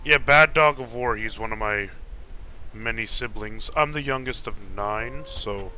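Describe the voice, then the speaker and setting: Deep-voiced young man chatting over game music. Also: unhurried, pushed and bright, poor audio. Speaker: game streamer. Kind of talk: casual livestream chat while gaming. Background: video game music.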